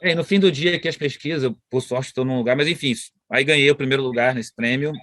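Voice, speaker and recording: A man's voice: medium pitch, fast, fairly bright tone. Chatty Brazilian man on a video call. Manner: chatty, slangy, full of tag questions. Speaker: guest psychologist and researcher. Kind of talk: casual video-call interview. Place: outdoors at home, moving inside.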